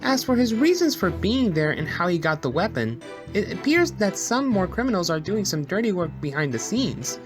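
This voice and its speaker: A woman's voice: medium pitch, big pitch swings, moderate pace, natural voice. A woman voicing a timid, eager male cheetah police officer in an audio drama, deferential and easily flustered. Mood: dutiful. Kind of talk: courtroom audio drama acting. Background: music.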